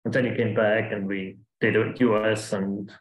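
A man's voice: lowish voice, level tone, moderate pace, echoey room, lo-fi sound. A man with a German accent, a guest speaker answering questions casually on a video call, rambling with restarts.

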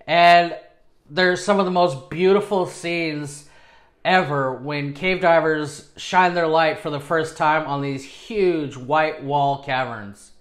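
Higher-pitched man presenting casually to camera. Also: a bit bright. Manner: self-deprecating, informal. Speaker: presenter of dive videos. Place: small home studio with acoustic foam, indoors.

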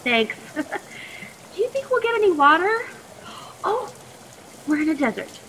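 A woman's voice: very high voice, sing-song, a little slow, fairly bright tone, exaggerated voice. A woman playing a plucky, nervous, chatty teenage captive in a radio play, stammering politely in a reverberant recording. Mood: anxious, chatty. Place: video call performance. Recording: long echoing reverb, faint noise.

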